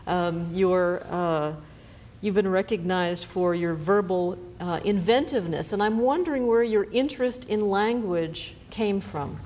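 A woman hosting a studio interview, asking deliberate, slightly hesitant questions. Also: on the low side, a little mellow. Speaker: interviewer host. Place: television studio set.

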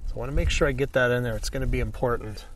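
A man teaches painting in a casual, informal way, thinking aloud in short phrases as he works. His voice sits at an ordinary middle pitch. He is recorded a little way from the microphone. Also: very fast, far from the mic, a little hiss or hum, low-quality recording. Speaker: painting instructor. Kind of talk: casual painting tutorial commentary.

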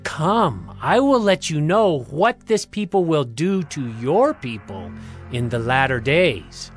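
An actor playing an impassioned male prophet, declaiming solemn oracles in a drawn-out, fervent way for a scripture drama. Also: fairly high pitch, sing-song, soft background music. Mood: defiant, solemn.

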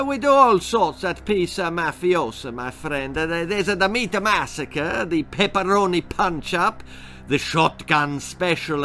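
A man's voice: on the high side, pushed and bright, pitched above the performer's own voice. A man voicing a shady, genial Italian mafioso pizza man with a sing-song Italian accent, in a children's story.

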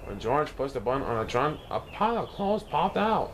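A man reads a children's storybook aloud in an animated storytelling manner, now and then stumbling over a word. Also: medium pitch, normal speed, slight background noise. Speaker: storybook reader.

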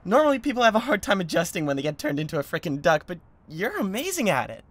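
A man playing an excitable, doting devil in a roleplay audio, stammering and gushing with awkward, playful affection. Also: sits high, fast talker, heavily acted. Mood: giddy, playful. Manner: stammering, gushing, swings from gentle to manic.

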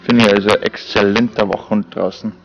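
A man's voice: on the low side, fairly even intonation, moderate pace, creaky, dull audio. A man, talking casually to camera and his dog while camping in a forest, rambling with many fillers. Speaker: outdoor vlogger. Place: forest camp at night, outdoors.

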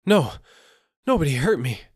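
A man reading aloud, voicing a kind old merchant who pleads softly with a weeping woman and later gasps in terror. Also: mid-range voice, big pitch swings, measured pace, slightly rough, dry recording, clean studio sound, pitched above the performer's own voice, exaggerated voice. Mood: terrified. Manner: pleading, then gasping in panic.

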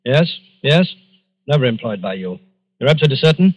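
An actor playing a brisk, businesslike Scotland Yard inspector in an old radio drama, clipped and authoritative. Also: male voice, medium pitch, very animated. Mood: brisk, questioning. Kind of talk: 1940s radio drama acting. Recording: muffled old radio recording.

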